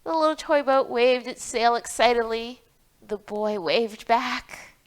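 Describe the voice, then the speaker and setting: A woman leads a storytime for young children in a high, clear voice with a smooth ring to it. She reads and explains in an encouraging way, speaking straight to the children as their host. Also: unhurried. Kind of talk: children's storytime reading and craft instruction.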